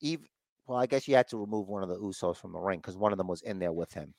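A man's voice: low-pitched, fast talker, a little mellow, no echo, clean studio sound. A congested man co-hosting a casual podcast, rambling through a recap and slipping into mocking voices. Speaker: podcast co-host recapping wrestling. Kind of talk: casual chat.

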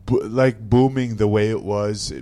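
A man's voice: lowish voice, fairly even intonation, unhurried, warm tone, faint music behind. Comedian guy on a podcast, laughs a lot, punchy and emphatic. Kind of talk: casual podcast chat.

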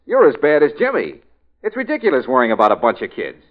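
Hard-boiled American fixer, in 1940s radio style. He sounds in command and a little gruff, with lively, swinging intonation. The recording is dull and muffled. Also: mid-range voice, fast. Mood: brisk, dismissive. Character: hard-boiled, take-charge male fixer, gruff but softening.

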